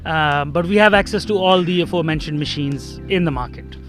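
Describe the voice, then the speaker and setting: Young man, from Pakistan, interview guest, relaxed with fillers, slightly high voice, faint music behind. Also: fairly quick. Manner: relaxed, uh and um fillers, laughs lightly. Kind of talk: interview answers.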